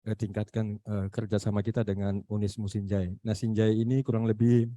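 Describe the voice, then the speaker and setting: Dark-voiced man with a Makassar accent. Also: fairly low pitch, little pitch movement, no room sound. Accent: Makassar Indonesian. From Makassar, South Sulawesi. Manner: halting, many fillers and searching for words. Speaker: university lecturer. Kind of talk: online meeting remarks. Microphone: video call.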